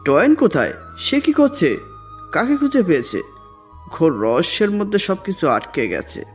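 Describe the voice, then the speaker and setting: A man's voice: high-pitched, sing-song, normal speed, faint music behind. An actor playing an anxious, brooding man who questions himself in an audio story. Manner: agitated muttered questioning.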